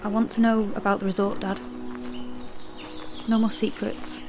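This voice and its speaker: A woman's voice: medium pitch, little pitch movement, moderate pace, music in the background, natural voice. An actress playing a resentful, rebellious teenage girl in an audio drama, with a sullen, challenging manner. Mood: defiant.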